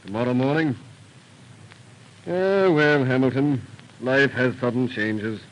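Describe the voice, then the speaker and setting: An actor, a man, playing a dignified, stoic patriot merchant in 1930s radio drama, measured and earnest. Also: mid-range voice, muffled recording, close to an everyday voice. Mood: stoic, resigned. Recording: noisy 1930s radio recording.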